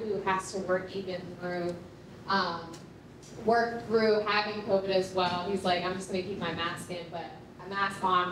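A young woman explains slides to an audience in an informal, conversational manner. Her mid-range voice sounds distant from the mic, with strong room echo around it. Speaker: project presenter. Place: dim concrete rehearsal hall before an audience. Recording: echoey room, distant mic, noisy rumble.

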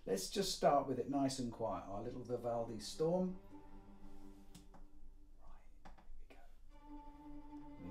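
A man's voice: mid-range voice, a little mellow. A man in his sixties presenting an informal demonstration, speaking directly to the viewer like a showman into a lapel mic. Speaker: presenter, technical director of a loudspeaker company. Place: large attic listening room indoors. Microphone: lavalier.

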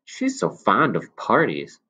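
A man's voice: lowish voice, huge pitch swings, slow pace, sharp tone, breathy edge, voice pushed up, exaggerated voice. A man voicing an eager, naive young man in love, earnest and a little hesitant. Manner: earnest, hesitant, breathless delight.

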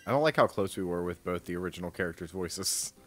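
A man's voice: low voice, expressive, fast, fairly bright tone. A young man co-streaming a video game with casual, profane, wisecracking banter. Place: indoor streaming setup. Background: game music.

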